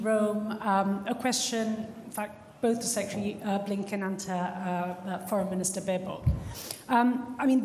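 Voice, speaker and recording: A woman putting a measured, analytical question to a conference panel. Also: mid-range voice, a little hiss or hum. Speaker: audience member asking a question. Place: large conference hall. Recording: echoey hall with faint ambience.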